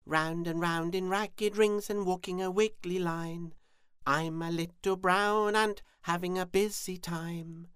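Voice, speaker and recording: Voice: medium pitch, fairly even intonation, slow pace, a bit bright, clean tone, no room sound, studio quality, pitched above the performer's own voice. A performer voicing a busy, cheerful little brown ant in a sing-song storybook manner.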